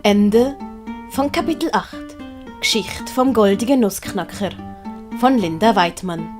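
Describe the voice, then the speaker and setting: A woman narrates a storybook in a medium-pitched voice. She has a Swiss German accent and reads from a script as an audiobook narrator. Faint music runs underneath. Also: animated, unhurried.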